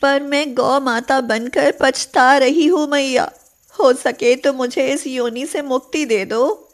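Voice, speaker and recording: Woman voicing a plaintive, high-pitched suffering cow. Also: fairly even intonation, takes it slowly. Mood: regretful pleading. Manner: plaintive, emotional pleading. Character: suffering female cow, pregnant, plaintive and devout. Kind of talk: Hindi animated moral storybook acting.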